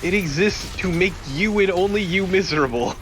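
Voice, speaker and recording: A man's voice: sits high, moderate pace, fairly bright tone, a little hiss or hum. A man co-commentating on a video game in a casual, joking, exaggerating way. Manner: joking, hyperbolic ranting. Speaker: let's play co-commentator.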